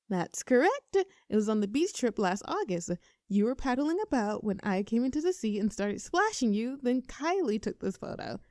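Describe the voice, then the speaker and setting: A woman's voice: a little high, mellow, dry recording, studio quality, natural voice. A woman acting a nervous, earnest best friend in a roleplay audio, addressing the listener like a mock-formal presenter. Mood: earnest, upbeat. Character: nervous, earnest young female best friend confessing a crush.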